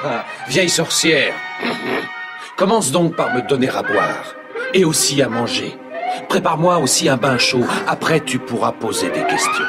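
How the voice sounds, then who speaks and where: A bold, merciful young fairy-tale prince from a cartoon. He declaims earnestly with lively pitch, and loud music plays behind him. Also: a little slow. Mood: bold, demanding.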